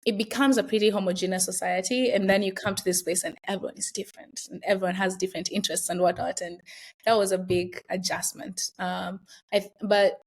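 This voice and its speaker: A woman's voice: medium pitch, fairly even intonation, a little fast. Young adult woman, Kenyan accent, interview guest, reflective and chatty, mid-range voice. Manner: chatty, reflective, frequent fillers and laughs. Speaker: guest, university student. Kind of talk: casual interview conversation.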